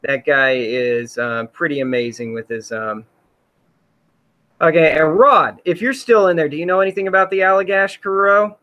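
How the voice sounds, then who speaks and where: A man's voice: a little high, normal speed, bright, forceful tone. A man hosting a casual livestream, rambling with many fillers while he reads out chat comments. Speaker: host.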